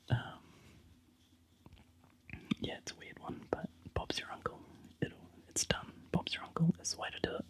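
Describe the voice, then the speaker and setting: A man with a New Zealand accent, whispering softly in ASMR style with frequent ums. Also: a little slow, long pauses.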